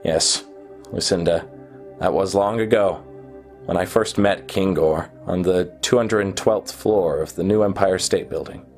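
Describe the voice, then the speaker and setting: An actor playing an obsessive, lovelorn and arrogant genius programmer in a brooding radio play monologue. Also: male voice, deep voice, a little mellow. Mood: wistful. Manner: brooding, halting, scornful monologue. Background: music.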